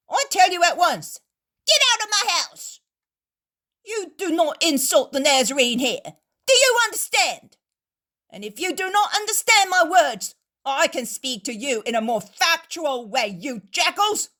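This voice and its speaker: A woman reading a story aloud, voicing a robust male innkeeper who shouts and threatens with scorn. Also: fairly high pitch, breathy edge, voice pushed up, heavily acted. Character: robust burly male innkeeper, fierce and mocking.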